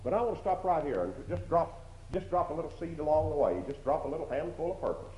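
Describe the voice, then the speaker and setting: A man's voice: a little high, big pitch swings, quick pace, warm tone, slight background noise. A man with a strong voice preaching a sermon in a church, emphatic, repeating phrases and asking rhetorical questions. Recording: dull lo-fi recording in an echoey hall.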